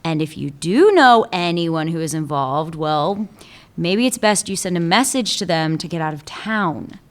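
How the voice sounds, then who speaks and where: A woman voicing a shrewd, tenacious female police chief in a radio play, speaking in a cool, measured way. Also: on the low side, animated. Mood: probing.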